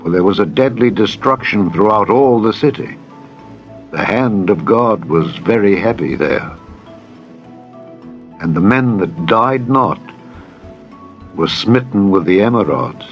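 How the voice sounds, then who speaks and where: A man narrating scripture in a dramatized style, with solemn, measured, deliberate phrasing. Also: on the low side. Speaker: Bible narrator. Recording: dull lo-fi audio with music. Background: music bed.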